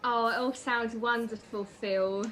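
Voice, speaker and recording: Woman playing a high-voiced, sassy diner waitress. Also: fairly even intonation, measured pace, fairly bright tone, low-quality recording. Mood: flirtatious. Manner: pert, snappy comebacks. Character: sassy, flirtatious female diner waitress, quick to bristle. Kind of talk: 1950s radio detective drama acting.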